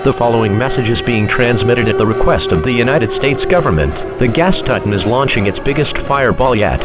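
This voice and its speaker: Man acting as grave government alert announcer, loud music. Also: mid-range voice, muffled recording, natural voice. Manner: grave, formal, authoritative. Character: grave male government emergency message announcer. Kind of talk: scripted disaster alert broadcast.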